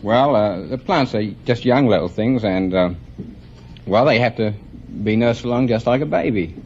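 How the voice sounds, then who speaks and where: A man's voice: mid-range voice, expressive, darkish tone. A man from England answering as a radio quiz contestant, polite and hesitant. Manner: polite, halting. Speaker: quiz contestant, nursery seed worker. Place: radio studio. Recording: muffled old 1950s radio, faint noise.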